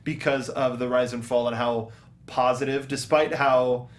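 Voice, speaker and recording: A man's voice: mid-range voice, fairly even intonation, measured pace. Male co-host in casual film discussion. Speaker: talk show co-host.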